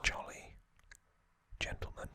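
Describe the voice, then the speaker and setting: A man hosting ASMR in a breathy whisper, gently recapping trivia in short, halting phrases. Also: no room sound. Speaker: ASMR host.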